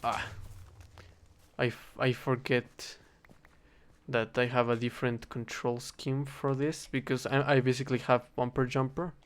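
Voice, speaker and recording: A young man with a Spanish accent, a native Spanish speaker, rambling in a casual, halting monologue while he plays a game and keeps correcting himself. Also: mid-range voice, smooth. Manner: halting, self-correcting, thinking aloud. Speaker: vlogger.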